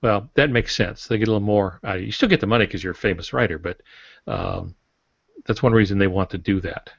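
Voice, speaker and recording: A man's voice: on the low side, quick pace, vocal fry, slightly rough. A man speaking as a guest author on a podcast, explaining things conversationally in an informal, folksy, opinionated way. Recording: roomy, dull lo-fi podcast audio.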